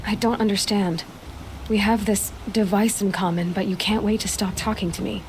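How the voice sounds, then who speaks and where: An actress playing a proud, guarded young outcast huntress in a video game, sharp-tongued and self-assured. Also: female voice, mid-range voice, fairly even intonation, normal speed, slight background noise, close to an everyday voice. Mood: guarded, questioning. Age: young adult.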